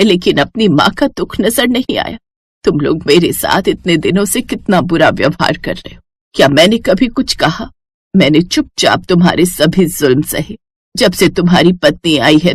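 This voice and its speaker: A woman playing a wronged, bitter elderly mother, lively pitch. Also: husky, no echo, studio quality. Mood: hurt, reproachful. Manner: reproachful, sorrowful, lecturing.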